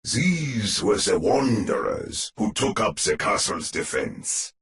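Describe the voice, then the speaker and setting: An actor playing a grave, ominous storyteller narrating a gothic horror tale for a video game, with solemn theatrical delivery. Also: male voice, sits low, full character voice. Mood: brooding, suspenseful.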